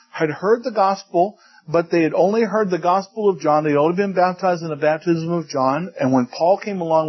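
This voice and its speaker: Man, preacher, fairly high husky voice, chatty teaching sermon, dull lo-fi sound. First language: English. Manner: conversational, asks the audience questions, jokes in passing. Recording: dull lo-fi recording.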